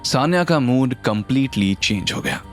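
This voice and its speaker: A man's voice: mid-range voice, animated, normal speed, faint music behind. A man narrating a scripted audio story in a dramatic style.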